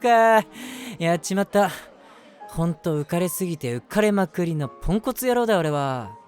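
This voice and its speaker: Man acting a lively, flustered lovestruck young guy. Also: sits high, moderate pace, faint music behind. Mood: sheepish. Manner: flustered, animated, self-mocking, talking to himself. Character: lovestruck, self-deprecating, easily flustered young man, narrating his crush. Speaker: voice actor. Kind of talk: first-person situation voice drama, chatty narration with acted reactions.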